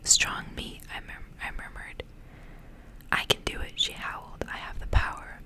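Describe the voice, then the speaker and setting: A woman speaking in a breathy, unvoiced whisper, in the intimate style of an ASMR reading. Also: a little slow. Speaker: ASMR creator reading stories aloud. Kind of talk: whispered ASMR storybook reading with casual asides.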